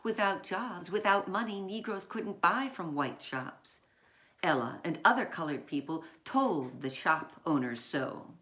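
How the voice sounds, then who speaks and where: A woman author reading her children's picture book aloud in deliberate short phrases, with dramatic emphasis on key words. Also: fairly low pitch, animated, a bit bright.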